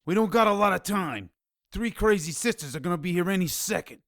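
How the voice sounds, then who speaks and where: Jittery streetwise tipster for audio drama, high-pitched, swooping delivery, slangy and urgent. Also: male voice, expressive, brisk, fairly bright tone, no echo, studio quality. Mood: urgent, alarmed. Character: agitated, streetwise male informant with underworld ties.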